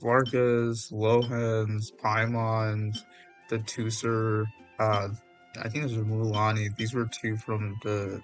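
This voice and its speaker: A man's voice: lowish voice, completely flat delivery, slow, faint music behind. A man giving casual commentary on a game he plays, amused and thinking aloud. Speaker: gaming video host.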